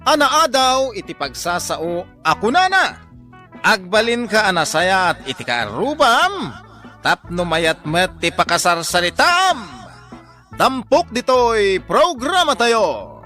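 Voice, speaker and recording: Male narrator with an Ilocano accent for a radio drama wrap-up; high, very lively pitch, slow pace. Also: soft background music.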